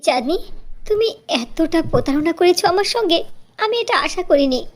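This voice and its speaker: A woman's voice: very high voice, animated, normal speed. A woman voicing a kind, earnest young beautician heroine in a fairy-tale cartoon, speaking emphatically. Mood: hurt, indignant. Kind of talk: dubbed cartoon dialogue.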